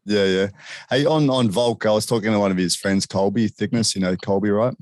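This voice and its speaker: A man's voice: fairly low pitch, fairly quick, darkish tone, no room sound, studio quality. A man from Sydney with an Australian accent, hosting a casual interview and often tacking on "man". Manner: laid-back, says man and bro a lot. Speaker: interview host.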